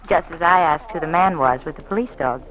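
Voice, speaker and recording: A woman's voice: on the low side, lively intonation, normal speed, crowd noise, lightly acted. An actress playing an anxious, secretive politician's wife in an old radio drama, hesitant and genteel in her speech. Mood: polite, flattering. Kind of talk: 1940s radio drama acting, melodramatic. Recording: faintly noisy old radio recording.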